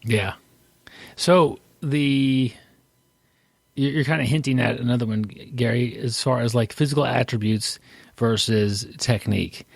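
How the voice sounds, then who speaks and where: Man, podcast co-host, gravelly, middle pitch, rambling chat, dry recording. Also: measured pace, no echo. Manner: rambling, self-interrupting. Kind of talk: casual chat.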